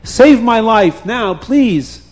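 Desperate captive man, played by a storyteller: raised pitch, urgent pleading, roomy hall reverb. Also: animated, slow, mellow, heavily acted. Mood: urgent persuading.

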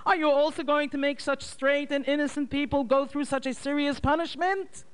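A man voicing an elderly mother who pleads and wails in fright and grief, crying out in a loud, high voice. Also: very animated, a little slow, clean tone, full character voice. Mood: wailing. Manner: wailing high cries.

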